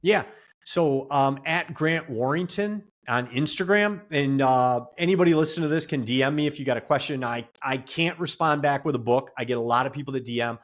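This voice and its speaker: A man's voice: mid-range voice, fairly quick. A man in a casual podcast interview, a real estate investor and coach giving earnest advice in long run-on stretches.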